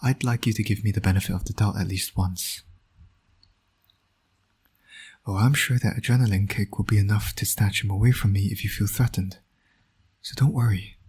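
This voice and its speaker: A man acts out a roguish male supervillain who is also a doting dad. The voice is very husky and breathy, sitting a little low. He speaks in a wry, flirtatious, teasing way, as in an intimate audio drama. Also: a little mellow. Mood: earnest pleading. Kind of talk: intimate scripted audio roleplay.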